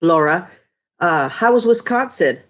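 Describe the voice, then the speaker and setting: A woman's voice: on the low side, expressive, unhurried, fairly bright tone. Stuffy-nosed woman chatting on a live stream. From Texas. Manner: chatty, greets viewers by name. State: stuffy, congested nose. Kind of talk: casual live chat reading viewer comments.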